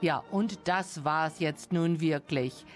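A woman's voice: low voice, slow. A woman hosting a music programme and interviewing a guest in an inquisitive manner. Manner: inquisitive, checks understanding with questions. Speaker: radio host.

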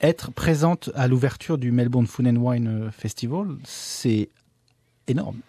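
A man's voice: mid-range voice, a little slow, dark tone, no room sound. A man hosting a radio interview, jokey and laughing between his questions. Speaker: radio host. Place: radio studio.

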